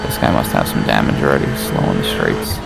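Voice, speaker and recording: A man chatting casually with his team while sim racing, relaxed and halting. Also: very deep voice, vocal fry, over loud music. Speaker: sim racing driver and streamer. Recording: loud race-car engine noise under the voice.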